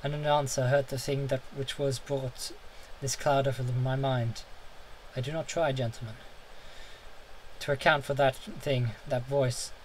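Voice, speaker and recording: A man's voice: mid-range voice, fairly even intonation, a little slow, a little hiss or hum, poor audio. A man narrating a horror story in the first person, intense and theatrical, now and then stumbling over a word. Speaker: audiobook reader.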